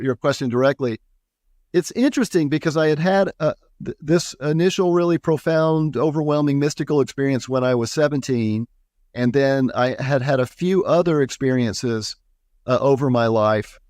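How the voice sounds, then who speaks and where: An older man in his sixties speaks as an interview guest with a pastor's earnestness. His delivery is thoughtful and halting, circling back over phrases. The sound is dry, with very little room. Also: mid-range voice, a little mellow, no room sound. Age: 60s. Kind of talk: conversational interview.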